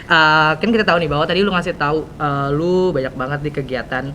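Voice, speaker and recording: A man's voice: a little fast, pushed and bright. A teenage male with a Jakarta Indonesian accent, hosting a casual interview in slangy, hesitant speech full of fillers. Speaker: podcast host. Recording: clear background noise, low rumble.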